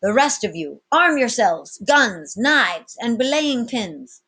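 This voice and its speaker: A woman voicing a commanding, wry male sea captain in a storybook, formal and brisk in manner. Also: fairly high pitch, big pitch swings, sharp tone, breathy edge, voice pushed up, heavily acted.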